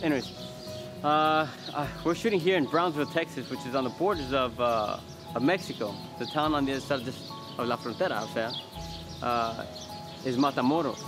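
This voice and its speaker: A man, an actor, giving a casual on-camera interview in a halting, rambling way, as if thinking aloud. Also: medium pitch, music in the background. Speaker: actor in a behind-the-scenes interview.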